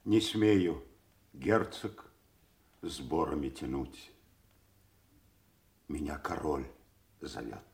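A man's voice: a bass voice, animated, very slow, long pauses, a little mellow, echoey room, exaggerated voice. An actor playing a loyal, sorrowful nobleman in a king's service, in a solemn, declamatory classical stage manner. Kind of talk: classical theatrical audio drama acting.

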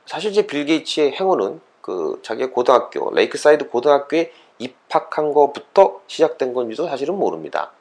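A man's voice: medium pitch, very animated, a little fast, hardly any pauses, a little mellow. A man giving an explanatory, lecture-style talk as a host, conversational and fond of posing rhetorical questions. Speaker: host of an educational talk series for parents and children.

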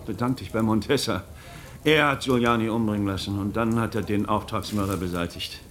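A man acts out a shrewd magistrate in a radio drama, speaking in a fairly low voice. He builds his case with theatrical, rhetorical flourishes. His manner is insinuating, mocking and relentless. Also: fairly even intonation, brisk, a bit bright, lightly acted. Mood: musing, sardonic. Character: shrewd male magistrate methodically cornering a suspect, mocking and relentless. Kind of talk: German radio play acting.

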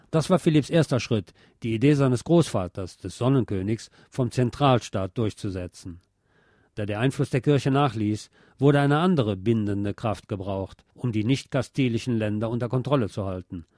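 Mellow-voiced man narrating history. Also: medium pitch, no room sound, studio quality. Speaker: radio host. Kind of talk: scripted narration of history, reading aloud.

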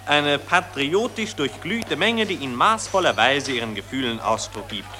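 A timid, pedantic man of letters in an old radio drama. The voice is somewhat high and picks through ornate, long-winded phrases, with music clearly behind. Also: expressive, moderate pace, sharp tone. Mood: smugly approving. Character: fussy, pedantic, timid learned journalist colleague. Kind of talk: 1940s radio acting.

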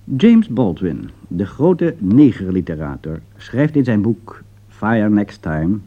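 A man's voice: on the low side, big pitch swings, slow pace, dull audio. A man reading a scripted political commentary in a formal, emphatic, rhetorical manner. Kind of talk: scripted broadcast commentary read aloud.

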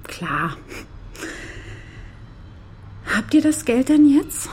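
A woman's voice: high-pitched, big pitch swings, a little slow, darkish tone, slight background noise. An actress playing a scheming, seductive, jealous woman in a German crime radio play, coaxing and taunting, often dropping close to a whisper. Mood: feigned sweetness. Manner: coaxing, taunting, drifts into breathy near-whispers. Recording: music bed behind the voice.

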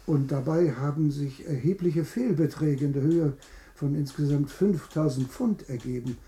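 Man acting gentleman captain, courteous, measured, very mellow voice, radio drama, roomy. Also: a little high, close to an everyday voice. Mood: grave, courteous. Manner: formal, measured, tactful.

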